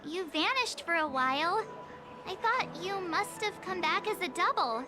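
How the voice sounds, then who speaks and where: A woman's voice: very high voice, lively intonation, moderate pace, loud music behind the voice. A woman voicing a bubbly, teasing young girl companion in a video game.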